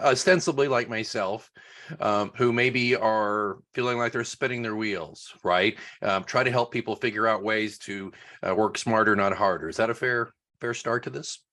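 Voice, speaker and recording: A man's voice: mid-range voice, a bit bright. A middle-aged man hosting a business podcast, making earnest, friendly appeals to listeners and introducing his guest. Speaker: podcast host. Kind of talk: podcast host talk.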